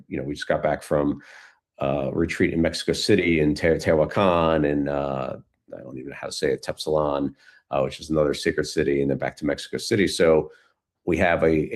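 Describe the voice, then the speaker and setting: A man's voice: very deep voice, darkish tone. A man answering questions in a casual, informal way, thinking aloud as he goes. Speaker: guest speaker, community founder.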